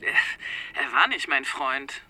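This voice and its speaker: Activist, very bright tense voice, earnest, defensive, radio drama. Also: female voice, rumbling low, animated, a little slow, breathy edge, no echo, exaggerated voice. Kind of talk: radio crime drama acting.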